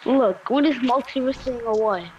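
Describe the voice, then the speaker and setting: A woman's voice: on the high side, moderate pace, dull audio, a crowd in the background, close to an everyday voice. A woman playing a troubled, accusing sorceress in an improvised amateur game roleplay, in a plain, hesitant way. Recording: noisy amateur game-capture audio.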